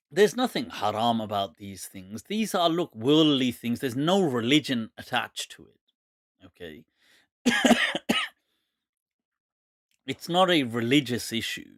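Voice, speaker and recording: Man, 40s, relaxed chatty host, mid-pitched, close dry mic. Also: dry recording. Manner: chatty, laughs often, relaxed asides. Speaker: host answering viewer questions. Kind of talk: casual live Q&A.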